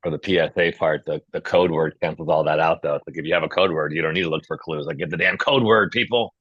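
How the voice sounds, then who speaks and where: A man's voice: a bass voice, quick pace, a bit bright, husky, no room sound. A man moderating a casual script workshop and giving notes, on somewhat lo-fi audio. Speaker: discussion moderator.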